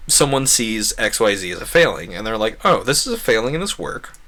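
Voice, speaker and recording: A man's voice: on the low side, pushed and bright. A man hosting a gaming livestream, rambling casually and earnestly, giving opinions and acting out imagined quotes. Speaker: livestream host.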